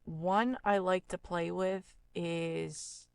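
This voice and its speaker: Casual woman tutor with a creaky voice. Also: on the low side, fairly even intonation, drawn-out words. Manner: informal, thinking aloud while working. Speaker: audio effects tutorial host. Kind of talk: casual screen-demo tutorial talk.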